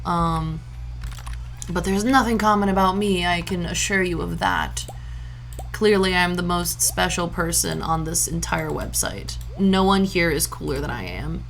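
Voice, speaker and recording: Playful low-voiced woman streamer. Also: measured pace, fairly bright tone, faint music behind. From Brazil. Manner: playful, sings little nonsense sound effects; jokey mock-archaic asides. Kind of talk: casual gameplay chatter.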